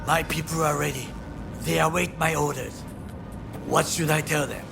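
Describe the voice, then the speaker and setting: Grave bodyguard guy, super gravelly, terse n loyal, game dialogue w music behind. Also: fairly high pitch, steady tone, moderate pace. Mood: terse, alert. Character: grave, honour-bound Japanese corporate bodyguard, stern and loyal. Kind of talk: video game voice acting.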